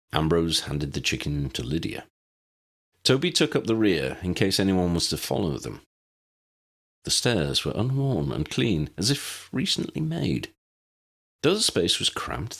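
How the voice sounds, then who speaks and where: A man's voice: low-pitched, very animated, measured pace, gravelly, fairly bright tone. A man reading a story aloud as a scripted audiobook narration.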